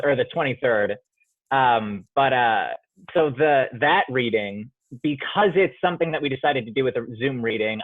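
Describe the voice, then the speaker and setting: A thoughtful male playwright talks through his answers slowly, circling back with "um" and "like" as he restarts his sentences. His voice sits at a middling pitch. The sound is thin and phone-like, close and dry with no room around it. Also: dry recording. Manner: reflective, many fillers and restarts. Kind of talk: interview answers. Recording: tinny phone-like line, dry.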